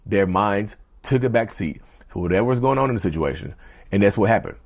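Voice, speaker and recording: A man hosting a casual mental health vlog, talking straight to viewers in a loose, repetitive way, his voice going a little hoarse. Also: fairly low pitch, animated, a little fast. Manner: conversational, repeats himself, often addresses viewers as "y'all". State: voice getting hoarse. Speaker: mental health video host. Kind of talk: casual direct-to-camera talk.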